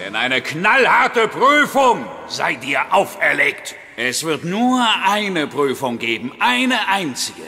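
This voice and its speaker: A vain, pompous sea god for a cartoon audio play. The voice is high and swoops grandly in scornful, imperious proclamations. It rings in a long hall reverb. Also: male voice, big pitch swings, slow, gravelly, few pauses, bright, forceful tone, soft background music, exaggerated voice. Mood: scornful, thundering. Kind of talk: German cartoon audio drama acting.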